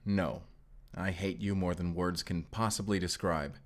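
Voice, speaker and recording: A man's voice: low voice, steady tone, unhurried. A man playing a blunt, irritable, hot-headed vampire slayer with a curt, exasperated manner in an audio roleplay. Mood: irritated, curt. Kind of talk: cinematic audio roleplay acting.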